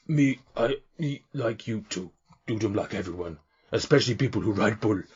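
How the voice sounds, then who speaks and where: A man's voice: fairly low pitch, expressive, unhurried, breathy edge, dull audio, full character voice. A man voicing a cheery male half-orc bull keeper who talks in broken, muddled grammar.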